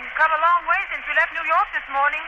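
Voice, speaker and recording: A woman acts a loyal, worried heroine of old radio drama. Her voice is extremely high and earnest, heard through a dull, very noisy recording. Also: steady tone, fast talker, lightly acted. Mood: curious. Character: Margot, loyal, anxious woman companion to the hero. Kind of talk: 1940s radio drama acting.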